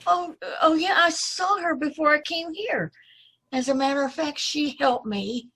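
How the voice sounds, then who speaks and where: A woman acting a timid, polite young woman in an old-time radio play, speaking hesitantly and apologetically in a breathy, husky voice. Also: sits high, unhurried, bright, forceful tone, full character voice, poor audio. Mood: moved, gentle. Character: timid, polite young woman seeking her lost brother.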